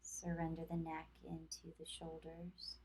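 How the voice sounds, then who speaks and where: A woman instructor leading a guided meditation in a calm, measured, soothing manner with long pauses. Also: deep voice, husky, low-quality recording. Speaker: yoga instructor.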